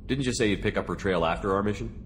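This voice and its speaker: An actor playing a direct, businesslike military commander in a video game, speaking plainly and asking pointed questions. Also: male voice, fairly low pitch, racing, a little hiss or hum, close to an everyday voice. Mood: probing, concerned. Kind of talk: video game dialogue acting.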